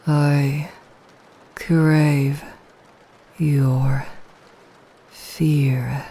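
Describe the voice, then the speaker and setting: A woman playing a seductive, possessive female vampire in an intimate, hypnotic ASMR roleplay, hushed and deliberate. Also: low voice, flat delivery, breathy edge, full character voice. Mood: possessive, menacing.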